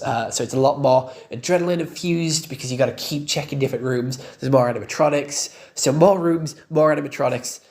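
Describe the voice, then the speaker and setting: A young man with a croaky voice, giving a casual spoken game review with loose fillers and casual swearing. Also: medium pitch, darkish tone.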